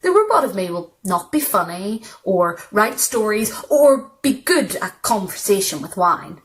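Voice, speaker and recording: A woman's voice: mid-range voice, a little hiss or hum, low-quality recording. A woman reads literary short fiction aloud as a storyteller, in scripted narration. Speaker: story reader.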